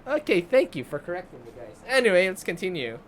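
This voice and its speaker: A man's voice: high voice, sing-song, fast talker, slight background noise. A young man giving casual live game commentary into a close microphone, rambling and chatty, often repeating himself. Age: young adult. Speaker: game streamer. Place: indoor streaming setup. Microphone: close desk microphone, headset on. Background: game music.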